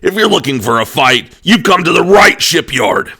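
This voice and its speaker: An actor playing a brash, boisterous, cocky pirate shipwright who is eager to brawl, in an anime audio drama. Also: male voice, on the high side, big pitch swings, normal speed, vocal fry, pushed and bright, husky, full character voice. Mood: hyped up.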